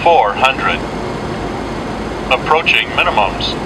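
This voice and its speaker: A synthetic man's voice making clipped, measured automated altitude announcements in an aircraft. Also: mid-range voice, animated. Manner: measured, clipped single phrases. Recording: cockpit speaker in loud engine noise.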